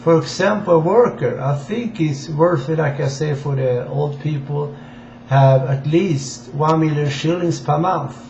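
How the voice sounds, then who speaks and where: A man from Sweden with a Swedish accent gives an informal political address in halting, deliberate non-native English. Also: on the high side, a little mellow. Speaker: amateur political campaigner addressing viewers. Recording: dull, echoey room with faint hiss.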